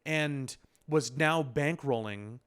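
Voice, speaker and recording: A man with a clear, smooth voice talks as a podcast co-host. His delivery is casual and conversational, circling through explanations with plenty of fillers at a middling pitch. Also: slow pace, a bit bright. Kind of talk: casual pop culture discussion.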